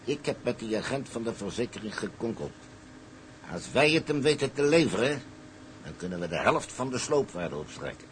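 An actor playing a boastful, wily older tugboat skipper with a salty, colloquial way of telling things. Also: male voice, medium pitch, big pitch swings, normal speed, a little hiss or hum, close to an everyday voice. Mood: scheming, insistent. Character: wily, boastful old colonial tugboat skipper, a persuasive schemer. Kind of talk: dramatised dialogue, storytelling in character.

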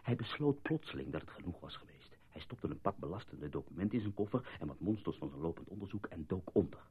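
A man's voice: low voice, animated, fast, slightly rough, full character voice. An actor playing an urgent, emphatic male newspaper journalist in a Dutch radio play. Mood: grave, explanatory. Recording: muffled phone-like old radio recording.

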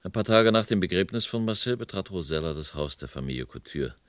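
Deep-voiced, grave police inspector. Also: male voice, lively intonation, quick pace, no echo. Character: remorseful male police inspector narrating his case. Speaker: radio drama actor. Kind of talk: 1970s radio crime drama narration.

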